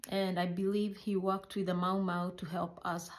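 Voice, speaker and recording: A middle-aged woman with a Kenyan accent telling personal stories in a halting, reflective way, searching for words; English is not her first language. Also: on the low side, level tone. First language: a Kenyan mother tongue. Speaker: healthcare worker recounting her life. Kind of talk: personal storytelling.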